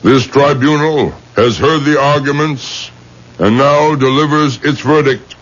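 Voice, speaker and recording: An actor playing a stern, commanding rabbi judge and exorcist, solemn and declamatory, in a 1970s radio drama. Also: male voice, mid-range voice, a little slow, lightly acted. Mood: grave, judging. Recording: muffled old radio recording.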